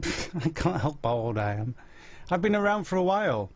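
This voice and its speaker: A man's voice: a little high, sing-song, moderate pace. A man in his forties with a Yorkshire accent, casually chatting live and answering viewer questions with self-mocking humour. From Yorkshire, England. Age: 40s. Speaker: host answering viewer chat.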